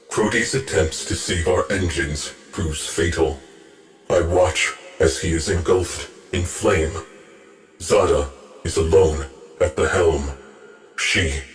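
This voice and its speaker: An actor playing a cold, mournful male ship AI who speaks in terse, clipped reports. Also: low-pitched. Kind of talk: game voice acting.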